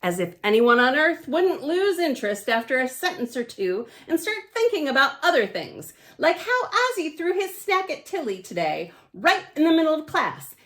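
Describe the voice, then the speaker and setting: A woman reading a picture book aloud to children, theatrically emphatic and playfully grumpy, with chatty deadpan asides. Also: high voice. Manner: theatrical, emphatic, with pauses and repeated words for effect. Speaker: library storytime reader.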